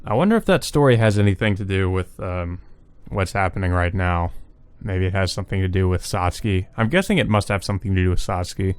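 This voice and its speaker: A man reading a visual novel aloud as a let's play host, in measured, subdued narration with brief asides. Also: low voice, dry recording.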